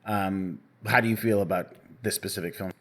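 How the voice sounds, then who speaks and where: A young man from Canada co-hosting a podcast in casual chat, relaxed and rambling with many fillers. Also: low-pitched, normal speed. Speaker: podcast co-host.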